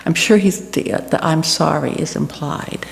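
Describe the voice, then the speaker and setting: A woman giving an earnest, deliberate personal reflection to a church congregation. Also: sits low. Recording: echoey hall with clear background noise.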